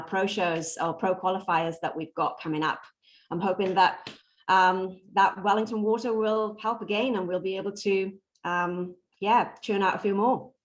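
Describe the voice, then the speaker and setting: A woman in her forties, originally from Wales, whose Welsh accent has softened after years in New Zealand. She answers questions in a measured, polite way with plenty of pauses, and her voice sits on the low side for a woman. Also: poor audio. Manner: measured, polite, with frequent ums. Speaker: podcast guest, bikini competitor. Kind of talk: interview answers.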